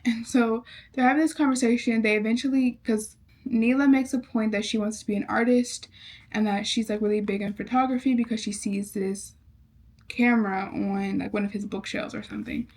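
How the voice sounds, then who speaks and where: A woman's voice: on the high side, steady tone, brisk, darkish tone. A young woman casually recapping a novel she is reading, chatty and rambling, with lots of fillers. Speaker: book vlogger.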